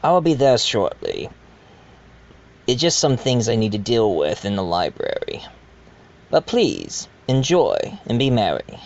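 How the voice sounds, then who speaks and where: A man's voice: mid-range voice, wildly swooping pitch, gravelly, dull audio, exaggerated voice. A man playing a genial but scheming wealthy host in an amateur murder-mystery audio drama, speaking formally and courteously. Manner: formal, deliberate, addresses guests courteously. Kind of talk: amateur audio drama acting, part narration.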